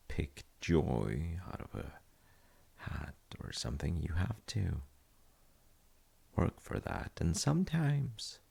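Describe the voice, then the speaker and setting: Guy playing a comforting dad in audio roleplay, super crackly husky voice, mellow, kinda hesitant and musing. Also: exaggerated voice. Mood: reflective, gentle. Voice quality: very deep, gravelly, husky. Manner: hesitant, musing, soft-spoken. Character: gentle, thoughtful dad comforting his child. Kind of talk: intimate audio roleplay, slow bedtime chat.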